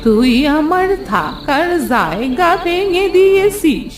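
A woman voicing a vengeful, ancient female pond spirit in a cartoon fairy tale, speaking with slow menace and accusation. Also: extremely high pitch, sing-song, reverberant, recorded from a distance, faint music behind, full character voice. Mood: menacing, accusing. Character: vengeful two-hundred-year-old female pond spirit.

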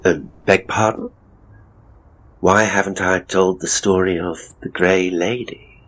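A man's voice: deep voice, steady tone, slow pace, a bit bright, full character voice, low-quality recording. A man playing a hapless, pompous middle-aged ghost tour operator in a horror audio drama, with a British accent and a grumbling, theatrical, wordy delivery. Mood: pompous, indignant. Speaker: ghost tour guide and storyteller.

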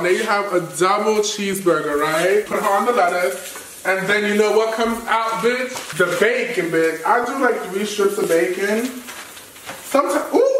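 A young man in his twenties cooking in a home kitchen, talking in a sassy, flamboyant, animated way and swearing freely. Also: high voice, a little hiss or hum. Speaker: vlogger cooking. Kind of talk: casual cooking vlog. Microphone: camera across the kitchen. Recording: echoey kitchen, mic at a distance. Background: food sizzling in a pan.

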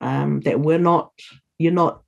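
A woman's voice: low voice, steady tone, slow, creaky. A middle-aged woman speaking earnestly as a guest on a video call, urging listeners on. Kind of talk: earnest interview talk.

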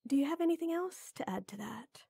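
A woman playing a tall, tender, teasing lady knight in an intimate roleplay audio, coaxing softly. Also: high-pitched, expressive, brisk, no room sound, clean studio sound. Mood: flirty, teasing. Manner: soft, teasing, coaxing, with breathy near-whispers.

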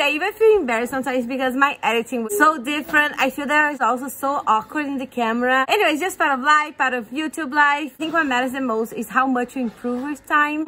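Casual female vlogger, young, Brazilian accent, native Portuguese speaker, high register, talking into a phone at home. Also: pushed and bright. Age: young adult. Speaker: daily vlogger and mother. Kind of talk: casual chat to camera. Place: indoors at home, kitchen and living room. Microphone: phone at arm's length. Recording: noisy home with appliance and child sounds.

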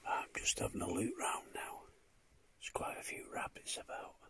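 Whispery man vlogging at night. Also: normal speed. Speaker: vlogger, hunter. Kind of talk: casual solo night vlog. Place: in and around a car outdoors at night.

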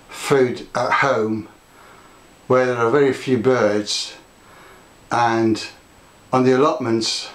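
Older gardener talking to camera, casual storyteller, mid pitch, roomy sound. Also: male voice. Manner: chatty, storytelling, gesturing with his hands. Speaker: gardening vlogger. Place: home conservatory, indoors.

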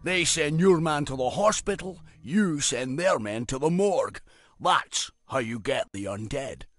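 An actor playing a gruff old dwarf warrior in a video game with an exaggerated Scottish accent. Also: male voice, medium pitch, lively intonation, unhurried, a bit bright. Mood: combative, taunting. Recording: music behind the voice.

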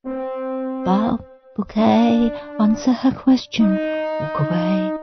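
A woman's voice: mid-range voice, takes it slowly, highs cut off, over music, pitched above the performer's own voice. A woman voicing an anxious, well-behaved little boy who is flustered and eager to please, in a storybook reading. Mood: nervous rehearsing. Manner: mumbling, then panicky wailing.

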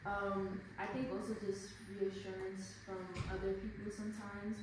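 A woman's voice: mid-range voice, flat delivery, moderate pace. A young woman speaking as a panelist in a panel discussion, talking candidly and casually with many fillers. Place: art gallery. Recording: echoey gallery from far off with noise.

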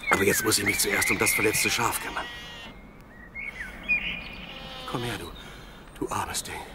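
An actor playing a plucky, devout young shepherd, the youngest brother, with earnest, dramatic delivery in an audio drama. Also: male voice, fairly low pitch, brisk, close to an everyday voice. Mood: relieved, tender. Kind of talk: German audio drama acting. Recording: clearly noisy radio play with sheep sounds.

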